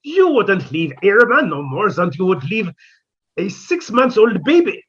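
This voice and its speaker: A woman's voice: medium pitch, big pitch swings, measured pace, breathy edge, dull audio. A woman playing an eccentric old male professor neighbor in a 1950s-style radio comedy, with a florid, pompous, theatrical delivery. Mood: grand, fussy.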